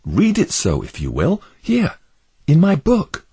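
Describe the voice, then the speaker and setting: A man voicing an earnest devout male pilgrim who pleads fervently with his neighbours, in a husky voice. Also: on the high side, wildly swooping pitch, takes it slowly, mellow, pitched above the performer's own voice, heavily acted. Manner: fervent, imploring.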